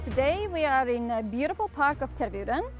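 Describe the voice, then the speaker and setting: A woman's voice: high voice, sing-song, brisk, loud music behind the voice. A woman from Latvia, a dance group member, explaining its story in an interview with a few fillers.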